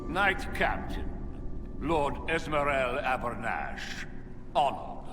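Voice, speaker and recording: A man's voice: medium pitch, lively intonation, slow pace, over music. An actor playing a haughty, impatient nobleman, pompous and condescending, in a theatrical video game cutscene.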